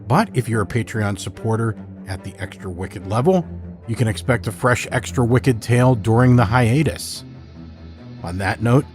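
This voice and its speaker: Measured male horror podcast host. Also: medium pitch, a little mellow. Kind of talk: scripted horror podcast introduction.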